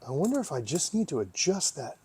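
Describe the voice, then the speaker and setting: A man's voice: fairly high pitch, sing-song, a little fast, a little mellow, husky. A man giving casual hands-on commentary as he tinkers, thinking aloud and often asking himself questions. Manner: musing, talks to himself, asks himself questions. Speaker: vlogger, hobby repair host.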